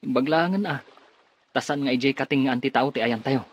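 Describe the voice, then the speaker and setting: A man's voice: mid-range voice, a little fast, breathy edge, highs cut off, lightly acted. An actor playing a seasoned, wary merchant seaman in a radio drama, talking earnestly as a comrade. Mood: alarmed.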